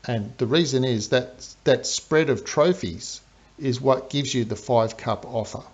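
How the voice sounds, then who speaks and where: A man's voice: mid-range voice, unhurried, breathy edge. A man with an Australian accent, a game streamer chatting through live commentary and explaining strategy step by step. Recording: dull lo-fi stream audio.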